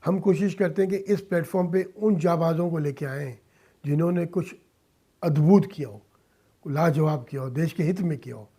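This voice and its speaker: Male, middle-aged or older, higher-set yet soft-edged mellow voice, earnest interviewee delivering deliberate, emphatic phrases. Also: slightly rough. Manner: emphatic, deliberate, short phrases, respectful address, some English mixed in. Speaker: interview guest, social-cause filmmaker and philanthropist. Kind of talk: earnest radio interview talk.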